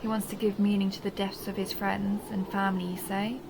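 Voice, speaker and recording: Woman playing a seductive vampire countess, creaky and hushed. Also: medium pitch, level tone, noisy background, natural voice. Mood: curious, amused. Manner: slow, teasing, hushed, often breathy whispering. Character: seductive possessive vampire countess toying with her human captive. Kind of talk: intimate dark romance audio roleplay, ASMR-like.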